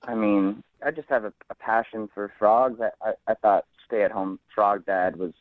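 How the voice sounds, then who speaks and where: A man speaking casually as a phone guest on a radio show, in a halting, earnest way. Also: on the low side, fairly even intonation, no room sound. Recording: thin band-limited phone line.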